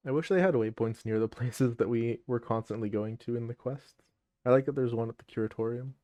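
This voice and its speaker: A man's voice: mid-range voice, fairly quick, mellow, no room sound. A man giving casual let's play commentary, thinking aloud as he goes. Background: game music.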